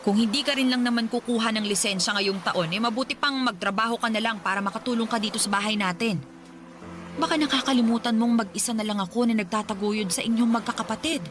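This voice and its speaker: A woman's voice: fairly high pitch, fast talker, a bit bright, close to an everyday voice. A woman playing a stern, nagging widowed mother in a radio drama, scolding and lecturing her daughter.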